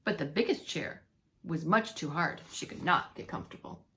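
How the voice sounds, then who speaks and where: A woman's voice: deep voice, normal speed, fairly bright tone, a little hiss or hum. A woman reading a story aloud to young children as a preschool teacher, expressively and with playful asides. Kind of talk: expressive children's read-along narration.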